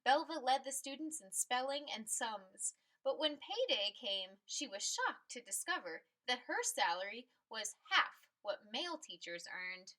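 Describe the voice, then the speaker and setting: Bright, high-pitched woman reading a storybook. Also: very animated, measured pace, few pauses. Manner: emphatic, clearly phrased storytelling. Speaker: read-aloud storyteller. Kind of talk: expressive picture book read-aloud.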